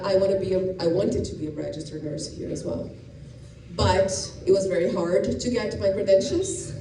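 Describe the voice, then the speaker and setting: A middle-aged woman from Brazil, a police officer, explaining things plainly to students with many restarts. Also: fairly low pitch. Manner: explanatory, frequent restarts and fillers. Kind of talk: informal talk to students. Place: school classroom or gym. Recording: echoey room, distant and noisy.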